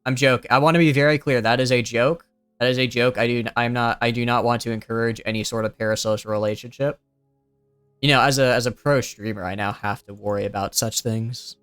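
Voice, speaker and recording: A young male streamer with a smooth, clean voice that sounds youthful, recorded close and dry with no room sound. He rambles in relaxed commentary, thinking aloud with plenty of fillers and restarts. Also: mid-range voice, fairly quick, no room sound, faint music behind. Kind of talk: casual gameplay commentary.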